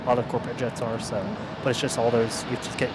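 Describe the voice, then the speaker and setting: A man's voice: mid-range voice, monotone, quick pace, very noisy. A young man who works the airport ramp, chatting casually and easily about his job. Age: young adult. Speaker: ramp worker and guest. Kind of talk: casual chat.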